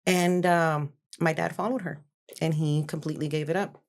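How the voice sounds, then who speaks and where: A woman's voice: deep voice, steady tone, normal speed. A woman in her forties from Texas, a guest in a podcast interview, telling her story in a chatty way with frequent laughter. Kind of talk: casual interview chat.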